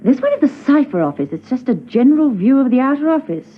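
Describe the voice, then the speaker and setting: A woman's voice: high-pitched, sing-song, quick pace, breathy edge, heavily acted. A woman playing a poised, inquisitive secret agent with an arch manner in a classic spy radio drama. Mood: thoughtful, intrigued. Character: poised, curious female secret agent, drily amused. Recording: dull, band-limited old radio recording.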